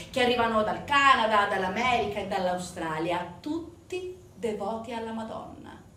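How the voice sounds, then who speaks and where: A woman's voice: fairly high pitch, fairly bright tone, slight background noise. A woman delivering a conversational theatre monologue to an audience, chatty and full of rhetorical questions. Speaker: stage actress, solo narrator. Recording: roomy echo, distant mic.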